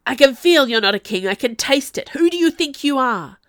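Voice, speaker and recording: A woman voicing a mad young nobleman in a storybook, hoarse and forceful with rage. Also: medium pitch, expressive, a little slow, no room sound, studio quality. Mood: frenzied accusing. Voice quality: voice breaking hoarsely. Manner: forceful, screaming accusations.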